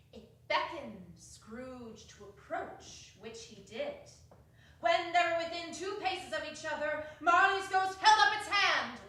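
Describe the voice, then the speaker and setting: A woman's voice: sits high, bright, forceful tone. A woman narrating a classic ghost story in a dramatic stage reading. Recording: echoey hall from far off.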